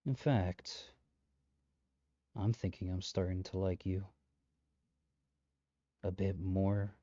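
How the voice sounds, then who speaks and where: A lowish, mellow male voice acted by a man plays a chatty, exasperated matchmaker in a comedic audio drama. He speaks directly to the listener in wry, conversational asides full of dry understatement. The recording is close but slightly dull. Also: little pitch movement, takes it slowly, no room sound. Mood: awed, smitten. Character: exasperated, chatty male matchmaker peddling possessive lovestruck suitors.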